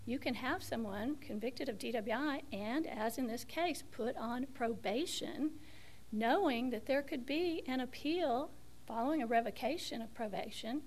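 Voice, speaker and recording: A woman attorney making an appellate oral argument while she is losing her voice, speaking in a measured, hesitant way with frequent restarts. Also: fairly high pitch, creaky, darkish tone. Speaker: attorney arguing an appeal. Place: courtroom.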